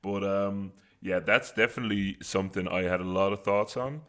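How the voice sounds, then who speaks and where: A man's voice: sits low, normal speed. A man co-hosting a podcast, chatting casually and telling stories with lots of laughter, recorded in a wooden cabin. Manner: animated, laughing storyteller. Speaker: podcast co-host. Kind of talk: casual chat. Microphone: close studio mic.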